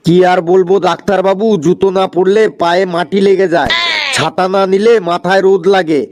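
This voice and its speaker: A man doing a high-pitched whining cartoon patient. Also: fairly even intonation, brisk, darkish tone, natural voice. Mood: plaintive. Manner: plaintive, complaining. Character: whining male patient with absurdly trivial complaints. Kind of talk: comedy cartoon skit dialogue.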